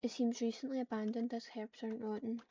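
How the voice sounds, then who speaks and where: A woman reading roleplay lines aloud as she plays, in a flat, subdued way. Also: fairly high pitch, fast talker, a little hiss or hum. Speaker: online roleplayer. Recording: muffled, distant mic with keyboard typing.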